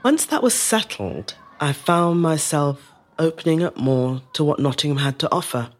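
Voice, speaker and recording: A woman playing a resilient middle-aged woman telling her life story in a measured, reflective dramatic monologue. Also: deep voice, lively intonation. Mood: fond, nostalgic. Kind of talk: scripted dramatic monologue, first-person storytelling.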